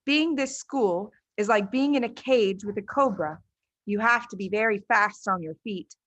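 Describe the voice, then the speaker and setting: A woman's voice: medium pitch, moderate pace, fairly bright tone, dry recording, lightly acted. A woman reading a storybook, voicing a clever, matter-of-fact little schoolgirl who explains things firmly and knowingly. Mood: sure, knowing.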